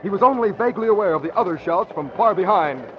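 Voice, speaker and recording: A man's voice: high voice, expressive, fast talker, background noise. A man narrating a scripted 1940s-style radio drama with urgent, dramatic storytelling. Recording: dull old radio recording.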